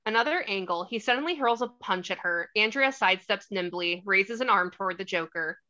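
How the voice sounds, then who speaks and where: A woman's voice: medium pitch, a little fast, sharp tone, highs cut off. A woman reading stage directions as scripted narration at a table read.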